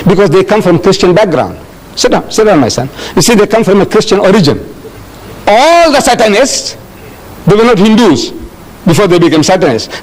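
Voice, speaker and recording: Lively, high-voiced older man lecturing. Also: poor audio. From South Africa. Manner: animated, storytelling with acted-out dialogue. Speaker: Islamic lecturer answering audience questions. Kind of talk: lecture Q&A with audience.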